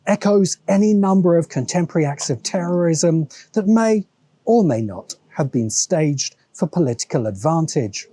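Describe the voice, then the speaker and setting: Mellow, higher-pitched British man narrating. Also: expressive, normal speed, no echo. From Britain. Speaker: video essayist, host. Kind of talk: scripted narration of a political film essay. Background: background music.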